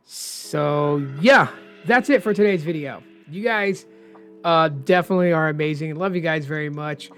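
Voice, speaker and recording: A man explaining phone unlock rules in a casual, rambling vlog, hoarse as he says he is losing his voice. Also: fairly high pitch, a bit bright. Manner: chatty, rambling, opinionated. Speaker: tech vlogger.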